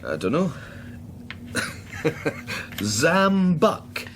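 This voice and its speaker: Radio drama lead: guarded, tired Scottish psychic, unhurried delivery, clear background noise. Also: male voice, a little high, huge pitch swings, exaggerated voice. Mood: dry, teasing. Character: weary, guarded male psychic detective, fond of his mother. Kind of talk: radio drama acting, with introspective narration.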